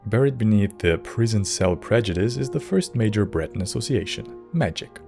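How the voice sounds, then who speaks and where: Male video essay narrator, low pitch, measured and wry scripted delivery, music underneath. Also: expressive, gravelly. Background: background music.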